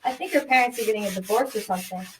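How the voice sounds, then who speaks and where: Girl as an earnest fast-talking granddaughter. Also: mid-range voice, natural voice. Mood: worried. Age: young teenager. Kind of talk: scripted school radio drama. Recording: distant mic with background noise.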